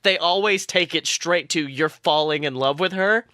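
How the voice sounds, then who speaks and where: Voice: medium pitch, moderate pace, sharp tone, no room sound, clean studio sound. A podcast co-host chatting casually and analytically about a cartoon, with some swearing.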